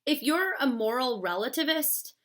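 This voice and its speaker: Bright-voiced woman teaching philosophy. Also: high-pitched, expressive, moderate pace. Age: 30s. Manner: chatty, uses fillers like "like" and "stuff". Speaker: philosophy lecturer. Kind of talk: casual classroom explanation.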